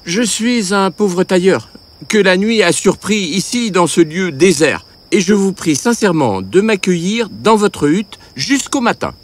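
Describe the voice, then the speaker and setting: Hapless young tailor, comic fairy tale: male actor, whiny and sardonic, high voice, animated pitch, music behind. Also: unhurried. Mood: pleading. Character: hapless young male apprentice tailor, polite but sarcastic. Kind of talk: comic acted storybook dialogue.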